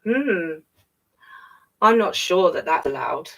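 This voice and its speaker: A woman's voice: fairly low pitch, big pitch swings, slow, vocal fry, a bit bright, recorded from a distance. A woman reading a picture book to young children, playfully breaking off for chatty asides and questions to the listeners. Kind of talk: read-aloud story time with chatty asides.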